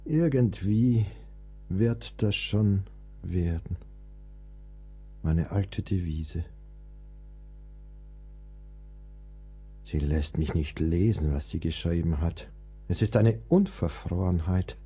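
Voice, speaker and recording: A man acting a sickly, melancholic and capricious young composer in a German radio play, theatrical, drifting between languor and excitement. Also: low-pitched, takes it slowly, slightly rough, heavily acted. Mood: weary, peeved. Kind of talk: German audio drama acting. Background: music.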